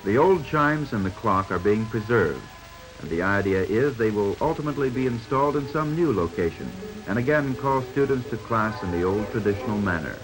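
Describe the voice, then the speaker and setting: A man's voice: lowish voice, animated, fairly quick, rough recording. An American man reading scripted narration for a 1950s newsreel. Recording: 1950s newsreel soundtrack with music behind. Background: background music.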